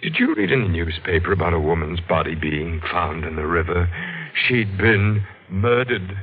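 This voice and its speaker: A man playing a sinister, moody self-proclaimed prophet in a 1940s radio drama, speaking ominously and deliberately. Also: deep voice, lively intonation. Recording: dull vintage radio recording.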